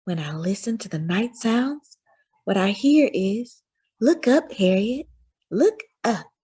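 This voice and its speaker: A woman voicing a loving, patient mother who gently reassures her young son in a children's storybook read-aloud. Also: mid-range voice, expressive, slow pace, breathy edge, heavily acted. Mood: hushed, wistful.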